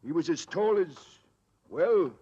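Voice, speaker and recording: Simple west country yokel watchman, scared n deferential, raspy, muffled old radio recording. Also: fairly high pitch, lively intonation, dark tone. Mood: earnest, awed. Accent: English West Country. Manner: rustic, deferential, halting. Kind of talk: 1940s radio acting.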